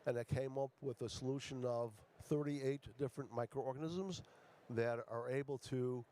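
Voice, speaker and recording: A man from Ecuador giving a conference pitch as a startup founder, speaking haltingly with many fillers and restarts. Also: fairly even intonation, dark tone, slight background noise.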